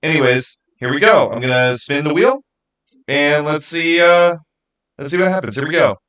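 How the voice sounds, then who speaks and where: A man's voice: fairly high pitch, expressive, moderate pace, fairly bright tone, smooth. Casual male livestream host. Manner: informal, chatting directly to viewers. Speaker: live stream host running a giveaway.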